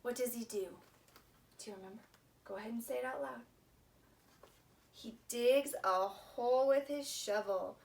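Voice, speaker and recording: A woman's voice: fairly high pitch, moderate pace, vocal fry, pauses often. A woman leading a children's story time, reading expressively in simple phrases and asking young listeners questions. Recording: distant mic with faint background noise.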